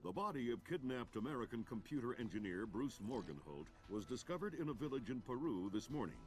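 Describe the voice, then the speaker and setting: A newsreader, played by a man, reading bulletins in a crisp, neutral broadcast style. The delivery is steady and professional, with clear music underneath. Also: mid-range voice, fairly quick, natural voice. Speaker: news anchor.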